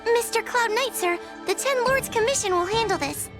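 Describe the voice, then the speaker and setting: A woman's voice: extremely high pitch, normal speed, loud music behind the voice. A woman voicing a timid, anxious young female judge in a video game, speaking in a hesitant, polite and earnest way. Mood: earnest, concerned.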